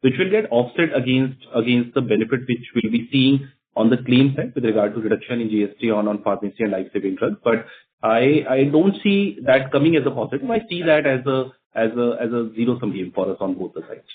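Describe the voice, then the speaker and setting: A man's voice: mid-range voice, animated, a little fast, a little hiss or hum. A man with an Indian accent explaining things as a company executive answering questions on an earnings call. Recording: narrow phone conference line.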